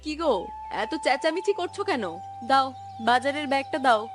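High, lively homely village housewife played by a woman. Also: a little fast, over music. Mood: homely, mildly chiding. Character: caring village Bengali wife and mother, mildly scolding. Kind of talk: cartoon story dialogue.

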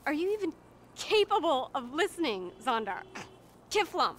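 A woman's voice: high voice, big pitch swings, normal speed, a bit bright, a little hiss or hum. An actress playing a zealous, condescending young female cult recruiter in a video game, preachy and earnest. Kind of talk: video game cutscene acting.

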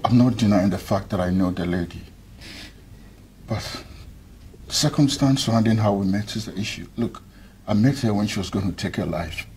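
An actor playing a two-timing young groom who pleads softly and evasively. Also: male voice, lowish voice, normal speed, a little hiss or hum, lightly acted. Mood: pleading. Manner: pleading, soft and insistent.

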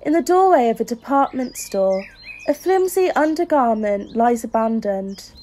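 A woman voices a grave chorus in a poetic audio drama. The tone is mellow and a little high, with birds singing behind. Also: animated, normal speed, slight background noise, natural voice. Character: grave female chorus describing a littered street.

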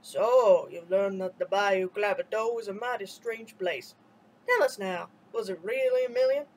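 A woman reading a storybook aloud, voicing a calm, wise old man with an easy manner. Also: medium pitch, unhurried, slight background noise, pitched below the performer's own voice, natural voice. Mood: amused.

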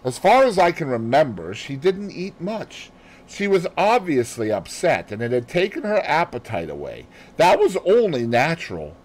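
A man voicing an earnest, easily nettled gentleman companion in an audiobook, puzzled and protesting. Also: on the high side, big pitch swings, moderate pace, voice pushed up, low-quality recording. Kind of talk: audiobook character voice.

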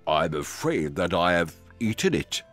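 Storybook jaguar: very low, rasping, slow, slyly menacing. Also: male voice, sing-song, fairly bright tone, faint music behind, exaggerated voice. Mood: smug menace. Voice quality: deep, rumbly, rasping. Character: deep rumbly menacing predatory jaguar, lazy and sly. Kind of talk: children's storybook character voice.

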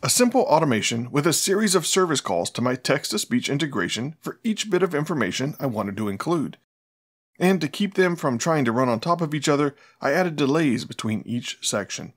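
A man's voice: fairly high pitch, very animated, a little fast. A middle-aged man, presenting a scripted tutorial in a plain-spoken, explanatory manner. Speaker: host of a smart home tutorial channel.

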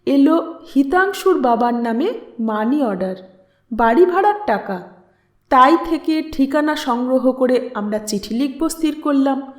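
A woman reading a literary story aloud in an expressive, dramatic and measured narrating style. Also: sits high, echoey room. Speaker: audiobook narrator and channel host.

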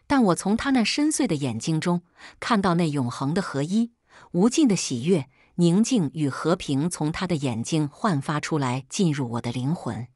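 Low-voiced husky woman narrating. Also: darkish tone, no echo, studio quality. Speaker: audiobook narrator. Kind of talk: scripted audiobook narration, solemn storytelling.

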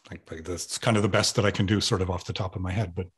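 Serbian-born man, 50s, low husky voice, halting reflective interviewee on a video call. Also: fairly even intonation. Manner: hesitant, many restarts and repeated words. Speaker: guest artist. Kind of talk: thoughtful conversational interview. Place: home room with sofa.

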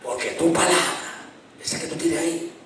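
A middle-aged man preaching a fervent sermon into a handheld mic in a small church, shouting at the peaks and dropping low in between. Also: normal speed, recorded from a distance, a little hiss or hum. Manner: impassioned preaching, shouting at climaxes. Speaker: pastor. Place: small church sanctuary, indoors. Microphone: handheld mic, filmed from far. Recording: very echoey church from afar.

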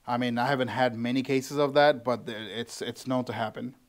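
A man in his thirties talks through a how-to in a relaxed, rambling way, pausing on ums and restarting his sentences. His voice sits at a middling pitch and is picked up close by a handheld camera in a small, plain office. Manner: loose and conversational, with frequent ums and restarts. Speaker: tuning shop mechanic. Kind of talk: casual how-to walkthrough. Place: small office with bare walls, indoors. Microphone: handheld camera close to face.

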